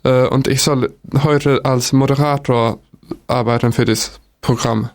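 A Swedish-accented man hosts a small radio show in German as a second language. His manner is relaxed and easygoing, with a few small stumbles. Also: mid-range voice, a little slow. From Sweden. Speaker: radio moderator. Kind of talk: casual radio intro. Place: local radio studio.

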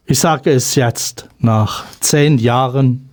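Slow, measured man reciting poetry. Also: mid-range voice. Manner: measured, pausing after short phrases. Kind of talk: spoken word poetry recital.

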